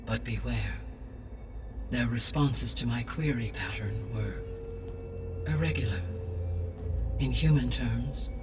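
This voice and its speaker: A man voicing a calm ancient artificial intelligence in a video game cutscene, speaking in a measured, precise, clinical way. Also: medium pitch, close to an everyday voice. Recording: game cutscene with music, distant.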